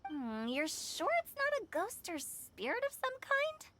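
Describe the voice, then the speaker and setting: A playful young woman from an anime game, pitched very high. She is a mischievous funeral director with a theatrical streak, speaking in flowery phrases like a showy master of ceremonies. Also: huge pitch swings, a little slow, background noise, exaggerated voice. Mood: teasing, curious.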